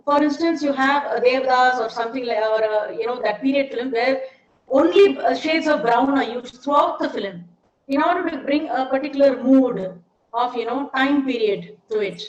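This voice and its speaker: A middle-aged woman with an Indian accent explaining things in an informal online lecture, often posing questions to her listeners. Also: high voice. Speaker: seminar speaker, ad film maker. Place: indoors, online seminar. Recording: dull, echoey online call with hiss.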